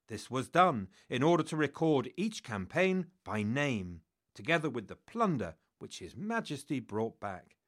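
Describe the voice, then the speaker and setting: A man's voice: medium pitch, fairly bright tone. A man playing a solemn royal scribe who formally proclaims a king's victories in a ceremonial, declamatory reading. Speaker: guest dramatic reader. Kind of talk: formal dramatic reading of an ancient inscription.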